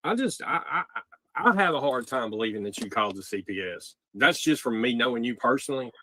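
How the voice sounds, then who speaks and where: Bright, forceful man hosting a livestream. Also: medium pitch, expressive, brisk, a little hiss or hum. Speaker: host of a livestream taking calls from jail. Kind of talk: casual livestream interview chat.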